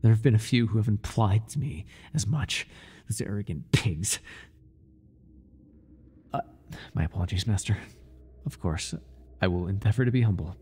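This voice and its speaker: A man playing an obsessive, groveling high priest who serves a dark master, speaking in a pleading, servile way in an intimate ASMR roleplay. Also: moderate pace, long pauses, breathy edge. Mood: smug, fawning devotion. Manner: pleading, servile, breathless with devotion.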